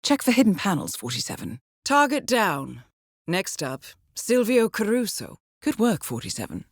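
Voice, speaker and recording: An actress playing a cool, composed intelligence handler in a video game, briefing an assassin with articulate dry wit. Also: female voice, mid-range voice, sing-song, moderate pace, husky, dry recording. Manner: composed, dryly witty, articulate. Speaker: mission handler.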